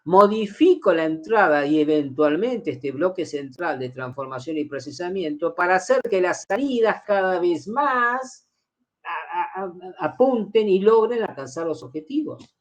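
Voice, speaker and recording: A man's voice: high-pitched, expressive, unhurried. A middle-aged man giving a university lecture, explaining step by step, repeating key phrases and checking in with his students.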